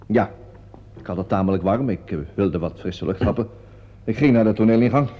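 Actor as hurried, indignant theatre man. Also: fairly low pitch, natural voice. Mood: defensive. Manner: agitated, hurried, self-justifying. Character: indignant, talkative theatre man under police questioning. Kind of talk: Dutch radio play acting. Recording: muffled, reverberant old radio play recording.

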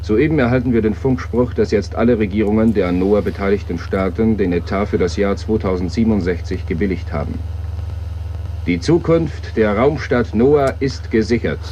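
An actor playing a solemn, grandiose space-city announcer, declaiming through a radio filter in a 1970s radio play. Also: male voice, on the low side, moderate pace, dark tone. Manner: pompous, declamatory. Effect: radio transmission filter. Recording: dull band-limited radio, faint noise.

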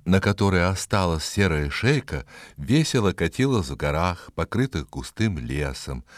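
Slow, very deep male storyteller. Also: no echo, clean studio sound. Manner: expressive, dramatic storytelling. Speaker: narrator. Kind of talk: scripted fairy-tale narration.

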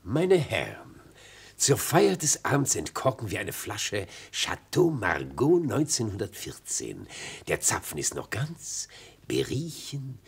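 An actor playing a gleeful old retired judge in a radio play, ceremonious and theatrical, with a raspy, creaky voice. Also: male voice, lowish voice, huge pitch swings, slow, heavily acted. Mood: festive, ceremonious. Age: older. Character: gleeful old retired judge presiding over a festive mock trial. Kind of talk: German radio play acting.

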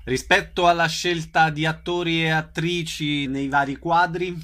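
A man working as an interviewer, putting measured, formally worded questions. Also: fairly high pitch, level tone, a bit bright.

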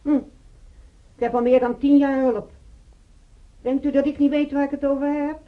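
A woman's voice: high voice, little pitch movement, moderate pace, lightly acted. A woman playing a haughty, defensive middle-aged housewife in a radio drama, on a dull, muffled recording. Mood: indignant.

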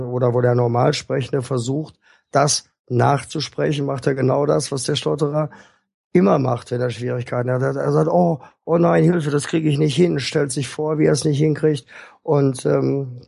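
A man speaking as a guest speech therapist, explaining things in a calm, instructive and measured way. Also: mid-range voice, creaky, no echo, clean studio sound. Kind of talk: explanatory interview talk.

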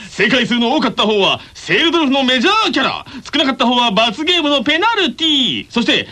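A man's voice: very high-pitched, sing-song, fast talker, sharp tone. A man hosting a playful radio quiz corner, teasing and throwing in jokey asides. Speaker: radio show host.

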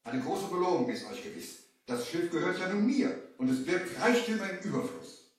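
A man's voice: sits high, animated, normal speed, distant mic. An actor playing an earnest merchant from Baghdad who narrates his adventure in a dramatic vintage audio play. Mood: hopeful, courteous. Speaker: narrator and lead character. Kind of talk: scripted storytelling, 1970 audio drama. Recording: echoey vintage LP, off the mic.

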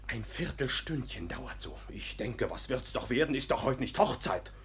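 Husky, hot-headed young peasant. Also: male voice, mid-range voice, animated, normal speed, a bit bright. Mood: indignant, agitated. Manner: vehement, breathless storytelling, dropping to whispers. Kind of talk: 1950s German radio drama acting. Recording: vintage 1950s radio recording.

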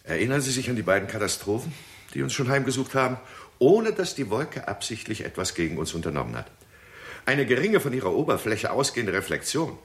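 An actor playing a grave, persuasive male scientist in a 1960s radio drama, emphatic and deliberate. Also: medium pitch, very animated. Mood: earnest, persuasive. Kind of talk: 1960s German radio play acting.

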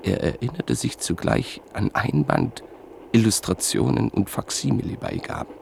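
A man narrating a radio play in a measured, literary storytelling manner. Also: on the low side, lively intonation, vocal fry, darkish tone, a little hiss or hum.

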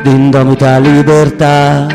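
A man playing a defiant fallen protester in a slow, half-sung theatre recitation over acoustic guitar. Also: medium pitch, completely flat delivery, lightly acted. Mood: exalted, intense. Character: defiant fallen young protester, exultant while dying. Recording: long hall reverb.

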